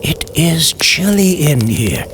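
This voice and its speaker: Man as menacing horror host, old-time radio, drawn-out breathy phrases, animated pitch, background music. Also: noisy background. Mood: menacing, inviting. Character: sinister, menacing male horror host welcoming victims.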